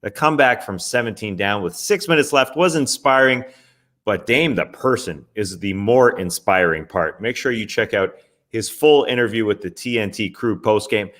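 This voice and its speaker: A man's voice: lowish voice, normal speed, fairly bright tone. Chatty man recapping basketball games. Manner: casual, chatty, wry asides. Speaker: sports podcast host. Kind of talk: NBA recap commentary.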